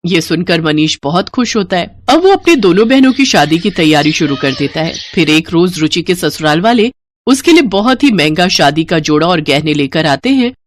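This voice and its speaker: A woman narrating a scripted moral story in an expressive, dramatic storytelling manner. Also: fairly low pitch, moderate pace.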